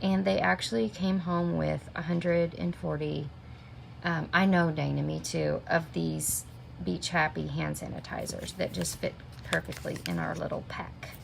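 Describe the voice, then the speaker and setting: A middle-aged woman walks viewers through a craft project, her low voice heavily gravelly and a little stuffy from a cold. She chats casually and greets viewers by name as she works. A steady noise sits under the camera-mic recording. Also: a little slow. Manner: chatty, step-by-step, talks to viewers by name. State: sniffling with a minor cold. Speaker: stamping craft demonstrator. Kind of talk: live craft tutorial. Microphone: camera mic at desk. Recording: noticeable background noise.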